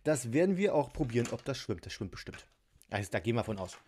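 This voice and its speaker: A man talks his way through a toy build at a home table. He chats freely, giggles often and pokes fun at himself. His voice sits at an ordinary middle pitch. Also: very animated, fast talker, dark tone, a little hiss or hum. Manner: chatty, giggly, self-mocking. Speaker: toy unboxing vlogger. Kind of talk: casual chat while building a toy set. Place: home tabletop filmed from above.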